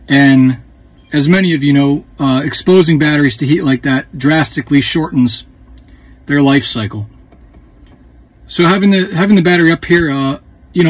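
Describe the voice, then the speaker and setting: A man's voice: on the high side, no echo. A man in his thirties walking through a hands-on tutorial outdoors, talking plainly into a phone camera held close, with fillers as he works. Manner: relaxed, plain-spoken, with fillers while working. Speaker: dealer host giving a how-to. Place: outdoors beside a jet ski.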